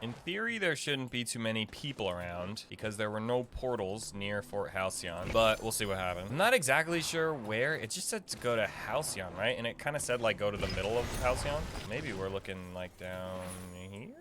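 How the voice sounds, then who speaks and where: A man's voice: fairly low pitch, lively intonation, normal speed, hardly any pauses, pushed and bright, slight background noise. A young man giving casual, informal game guide commentary, rambling and thinking aloud as he plays. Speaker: gaming video creator. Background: faint game music.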